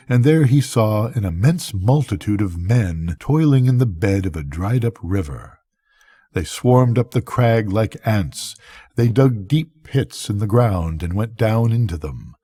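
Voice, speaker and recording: An older man reading a bedtime story as a grandfather, with measured, deliberate phrasing and pauses. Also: on the low side, dark tone, slightly rough, no echo, clean studio sound.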